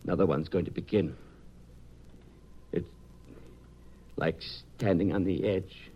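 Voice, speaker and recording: An actor playing a shaken, brooding man obsessed with time, in a halting, theatrical 1950s radio style. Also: low voice, slight background noise. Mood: haunted, musing. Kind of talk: 1950s radio drama acting. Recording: dull vintage 1950s radio recording.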